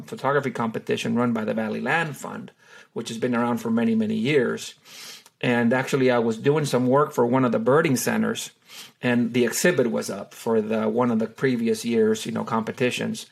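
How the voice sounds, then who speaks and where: Middle-aged man from Honduras, gravelly voice, unhurried and full of fillers, an easygoing interview guest. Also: medium pitch. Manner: hesitant, many fillers and restarts. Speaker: wildlife photographer and tour guide. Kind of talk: relaxed interview answers.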